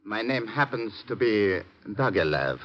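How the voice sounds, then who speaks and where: An actor playing a coolly polite, menacing villain in an old radio drama, formal and deliberate. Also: male voice, on the low side, big pitch swings. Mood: coolly threatening. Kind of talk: 1950s radio drama acting. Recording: muffled, noisy 1950s radio recording.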